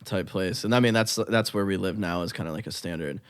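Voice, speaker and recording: Young male guest, lower voice, easygoing and rambling, dry close mic. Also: fast, clean tone, dry recording. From United States. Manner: rambling, lots of likes and ums, easygoing. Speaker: guest musician. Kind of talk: casual interview chat.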